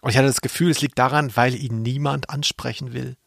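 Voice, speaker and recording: A man co-hosting a podcast in casual conversation, often halting as he searches for words. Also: medium pitch, steady tone, creaky, no room sound, studio quality. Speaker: podcast co-host. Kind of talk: casual chat about radio plays.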